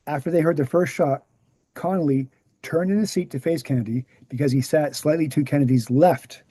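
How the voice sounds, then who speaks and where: A man's voice: a little high, dark tone, breathy edge, no room sound. A man reading the part of a confident, didactic explainer in scripted dialogue. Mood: explanatory. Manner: didactic, assured. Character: Gary, confident explanatory man debunking assassination myths. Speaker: actor in a screenplay table read.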